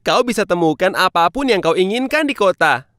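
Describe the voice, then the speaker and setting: Woman voicing a haughty, expressive city mouse. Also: fairly low pitch, fairly quick, dry recording, clean studio sound. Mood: disdainful. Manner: disdainful, boastful. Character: snobbish, haughty city mouse fond of luxury. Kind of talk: children's cartoon dubbing.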